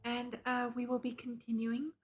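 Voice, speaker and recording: A woman livestreamer reading a visual novel aloud and chatting casually, with a giggly, expressive manner. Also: a little high, moderate pace. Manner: giggly, expressive, exclaims in reaction to the text. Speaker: VTuber streamer hosting a visual novel read-along.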